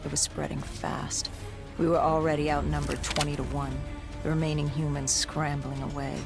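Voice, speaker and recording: A grim female soldier, recounts a dark video game tale in a deep, gravelly voice. She is brooding and haunted, telling it with dramatic weight over clearly audible music. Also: little pitch movement, normal speed. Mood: bleak. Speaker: narrator, video game character. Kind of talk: dramatic in-character game narration. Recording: music behind the voice.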